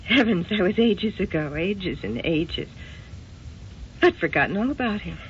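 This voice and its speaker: An American woman playing a wounded, evasive wife haunted by lost love, speaking in an emotional, halting way in an old-time radio drama. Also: on the low side, animated. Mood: evasive, flustered. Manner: emotional, halting, old-fashioned genteel exclamations. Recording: dull, noisy old radio recording.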